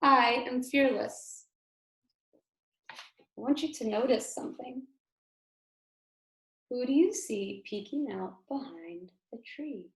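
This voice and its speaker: In an echoey room, a woman with a slightly high voice reads a storybook aloud to young children. She is a kindergarten teacher, theatrical in delivery and careful to emphasize and explain. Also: expressive, takes it slowly, pauses often, mellow.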